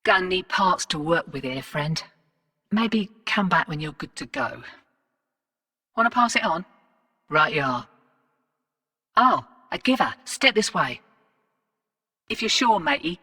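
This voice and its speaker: Cocky rogue arms dealer, bright forceful voice, cheeky slangy sardonic delivery, video game character, long reverb. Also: female voice, fairly low pitch, sing-song, measured pace, pauses often, exaggerated voice. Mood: chatty, unsure.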